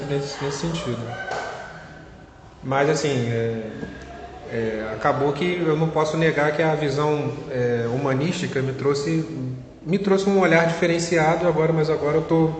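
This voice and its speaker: A young man with a Carioca accent, a physics student being interviewed, talking casually with many fillers and restarts. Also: a little high. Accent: Carioca Brazilian Portuguese. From Rio de Janeiro, Brazil. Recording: echoey room with long reverb, faint noise.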